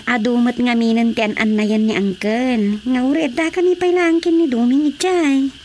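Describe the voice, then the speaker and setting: A high-pitched, inquisitive woman played by an actress with an Ilocano accent. She talks in radio drama comedy style over faint background noise. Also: fairly even intonation, normal speed, a little mellow, natural voice. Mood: chatty.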